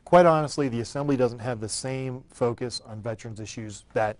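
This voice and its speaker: A man who is a state senator, talking quickly and forcefully about a bill he is pushing on his own talk show. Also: medium pitch, dry recording. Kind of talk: public affairs talk show.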